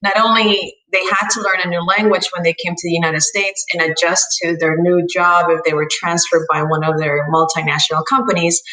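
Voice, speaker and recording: A woman's voice: on the low side, level tone, a little fast, few pauses, pushed and bright. A woman from Colombia, a wealth advisor talking as a guest in an interview, earnest and encouraging. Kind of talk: podcast interview. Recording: roomy echo, distant mic.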